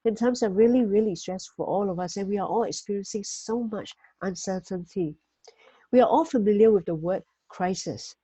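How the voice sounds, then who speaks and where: Gentle woman, mellow and breathy. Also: mid-range voice, no echo, muffled recording. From Singapore. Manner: gentle, measured, frequent "you know" fillers. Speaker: host giving weekly life tips. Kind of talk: motivational talk with slides.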